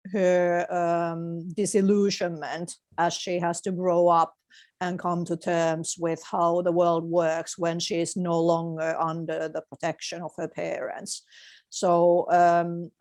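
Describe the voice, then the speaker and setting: A woman from Finland, speaking thoughtfully in measured phrases as an author being interviewed. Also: fairly low pitch, darkish tone. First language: Finnish. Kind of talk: reflective interview conversation.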